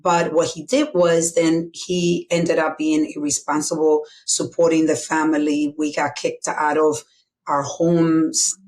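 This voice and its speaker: Low-voiced Dominican woman telling her story. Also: little pitch movement, unhurried, darkish tone, low-quality recording. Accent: Dominican Spanish. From Dominican Republic. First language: Spanish. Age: middle-aged. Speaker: guest. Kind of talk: candid personal storytelling.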